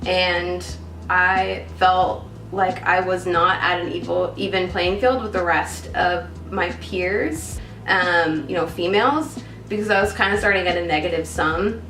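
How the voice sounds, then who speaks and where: A woman's voice: on the low side, fairly even intonation, measured pace, fairly bright tone. A woman in her early thirties from upstate New York, speaking earnestly in a documentary interview. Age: 30s. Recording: roomy, distant mic with music bed.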